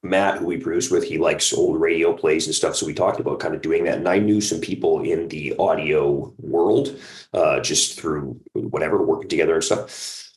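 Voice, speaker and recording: Very deep-voiced man chatting in an interview. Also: moderate pace. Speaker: guest, audio drama creator. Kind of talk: casual interview chat.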